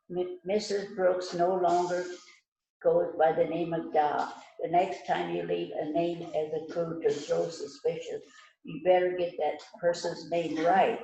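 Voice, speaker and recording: A woman playing a smug, stern police detective in an amateur radio-style mystery, speaking deliberately and knowingly. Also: fairly low pitch, gravelly, warm tone, a little hiss or hum. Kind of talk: amateur readers theater rehearsal. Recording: roomy, echoing room sound.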